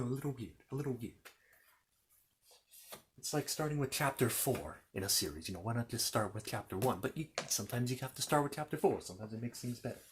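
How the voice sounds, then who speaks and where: A man performs a courteous, fussy keeper of storybook histories in an ASMR roleplay. His mid-pitched voice rises and falls widely as he rambles, hesitates and repeats himself apologetically. Faint noise sits behind the voice. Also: natural voice. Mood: fussy, musing. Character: fussy, courteous Narnian bookkeeper recounting his world's history.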